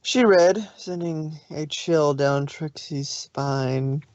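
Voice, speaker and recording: A woman's voice: deep voice, slow pace, a little mellow, dry recording. A woman narrates a story from a script. The recording is somewhat dull and lo-fi, with a very dry sound.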